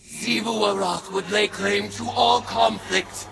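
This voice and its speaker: An actress playing a vengeful woman turned dark alien war god in a video game, speaking in a solemn, imperious, grandiose manner. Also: medium pitch, fairly even intonation, vocal fry. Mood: commanding. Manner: grandiose, deliberate, theatrical delivery. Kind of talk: video game dramatic monologue. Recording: loud music under the voice.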